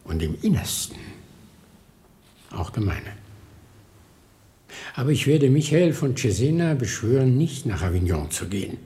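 Frail old monk, widely swinging intonation. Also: male voice, medium pitch, big pitch swings, dark tone. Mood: reproachful, urgent. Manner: theatrical, halting, breaks into whispers and lament. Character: very old frail monk, fervent, anxious, devout. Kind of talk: German radio drama acting.

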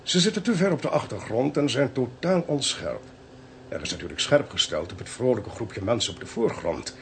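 A man playing a shrewd, theatrical Dutch police chief in a radio drama, explaining things deliberately and wryly. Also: mid-range voice, sing-song, vocal fry, a bit bright, a little hiss or hum. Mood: triumphant, explanatory. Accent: Dutch (Netherlands).

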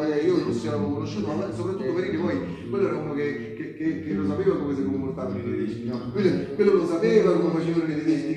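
A man's voice: a little high, lightly acted. Echoey man acting an earnest Italian partisan storyteller. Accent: central Italian. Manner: colloquial, repetitive, seeking agreement with tag questions. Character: earnest Italian partisan veteran recounting wartime memories to Teresa. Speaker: stage actor and storyteller. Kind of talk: narrative theatre monologue with live music. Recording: echoey distant stage recording under guitar music. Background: live plucked guitar music.

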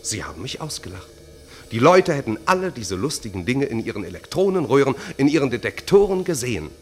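Uncanny magician, wildly swooping voice. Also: male voice, mid-range voice, big pitch swings, fairly quick. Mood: insistent. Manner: declamatory, hypnotic, insistent. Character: uncanny, insistent male magician, taunting and self-assured. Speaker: radio drama actor. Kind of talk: theatrical early German radio play acting.

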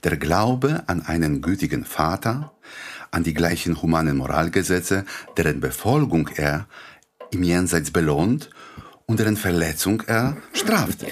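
Husky, animated idealistic doctor. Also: male voice, low voice, a little slow, exaggerated voice. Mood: welcoming, earnest. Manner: courteous, earnest, gently ironic. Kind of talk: radio play acting.

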